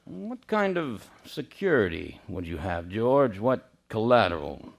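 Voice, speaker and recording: A man's voice: lowish voice, big pitch swings, creaky, full character voice. An actor playing a cold, scornful old banker who taunts a desperate man in a radio play. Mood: contemptuous. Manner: sneering, slow and cutting.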